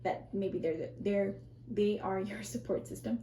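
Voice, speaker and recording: A woman with a scratchy, strained voice reading tarot in a casual, rambling way, often correcting herself and speaking softly to viewers. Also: mid-range voice, fairly even intonation, a little slow, echoey room. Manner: rambling, self-correcting, soft and reassuring. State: scratchy, strained voice, clearing her throat. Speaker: tarot reader.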